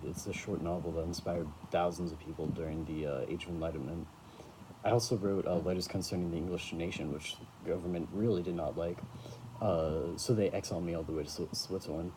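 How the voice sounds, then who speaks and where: A man's voice: sits low, a little fast, close to an everyday voice. A man playing an exiled French writer who talks casually with lots of fillers. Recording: noisy home recording.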